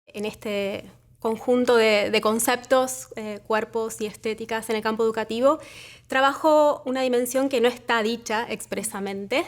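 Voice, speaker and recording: Thoughtful Argentine woman, rather high voice. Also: steady tone. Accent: Argentine Spanish. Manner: measured, thoughtful, frequent pauses with fillers. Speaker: academic researcher. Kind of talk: reflective academic conversation.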